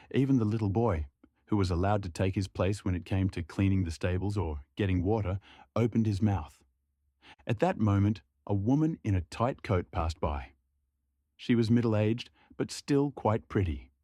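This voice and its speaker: A man's voice: deep voice, warm tone, dry recording, clean studio sound. A man reading a scripted folk horror story as a narrator.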